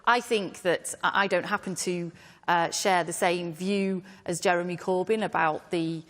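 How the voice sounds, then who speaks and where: A woman's voice: lowish voice, fairly even intonation, a little slow, fairly bright tone. A woman panelist in a televised debate, firm and correcting others. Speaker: panelist, politician. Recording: studio hall reverb.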